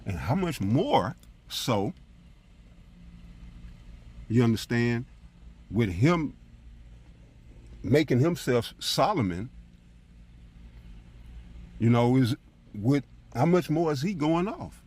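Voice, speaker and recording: A man with a husky voice teaching scripture as a preacher, in an emphatic, colloquial style full of rhetorical check-ins. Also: mid-range voice, sing-song, slow pace, pauses often, darkish tone. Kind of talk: fiery informal Bible teaching with commentary on scripture readings.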